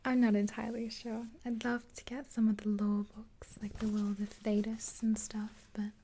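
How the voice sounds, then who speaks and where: A woman in her twenties whispering a gentle ASMR roleplay in a breathy, unhurried, hesitant voice, close to the mic. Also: mid-range voice, level tone. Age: 20s. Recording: hissy close recording with clicks.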